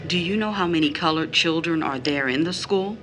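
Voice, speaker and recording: A woman's voice: low voice, fairly quick, a bit bright, slight background noise. An actress playing a tired, numb, poor mother, wary and protective, with blunt questioning, in an audio drama. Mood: blunt, probing. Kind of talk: scripted audio drama acting.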